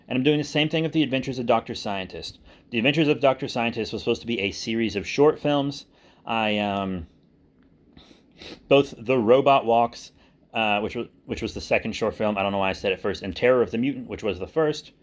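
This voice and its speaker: A man giving a casual, rambling update to viewers as an online creator, informal and often correcting himself as he thinks aloud. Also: fairly low pitch. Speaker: online video creator and writer.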